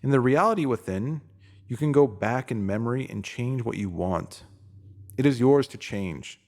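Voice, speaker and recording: A man reads a spiritual self-help piece aloud as a narrator, with a mid-pitched voice. His delivery is earnest and instructive, like someone teaching through a written text. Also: lively intonation, moderate pace, a little mellow. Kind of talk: reading a motivational spiritual essay aloud.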